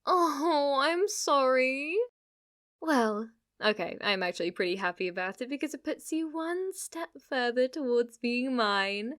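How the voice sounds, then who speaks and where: A woman's voice: high voice, lively intonation, a little slow, fairly bright tone. A woman playing a playful, possessive, lovestruck witch in an ASMR roleplay, speaking to the listener in a teasing, cutesy way. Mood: gloating, teasing. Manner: teasing, cutesy, swinging between coy murmurs and eager outbursts.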